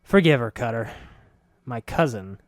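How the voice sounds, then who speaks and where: A man's voice: medium pitch, moderate pace, darkish tone, no room sound, clean studio sound, lightly acted. A man reading a story plays a stern, dismissive middle-aged man who speaks in a staccato way, clipping each word.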